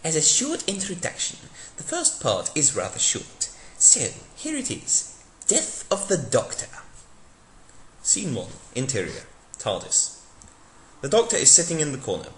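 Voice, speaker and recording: A man gives a theatrical, mock-dramatic reading of a fan script. His voice is bright and forceful, with animated pitch, recorded in an echoey room. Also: moderate pace, a little hiss or hum. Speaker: narrator, dramatic reader.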